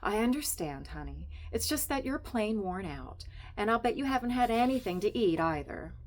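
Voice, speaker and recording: A woman reading a story puts on a nosy young wife who gushes and coaxes, her voice pitched well above the reader's own and swinging up and down. It is sweetly bossy and cloying, full of little "honey"s, and sounds like a voice from a storybook read aloud. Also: big pitch swings, moderate pace, natural voice. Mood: soothing. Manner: gushing, coaxing, calls everyone honey.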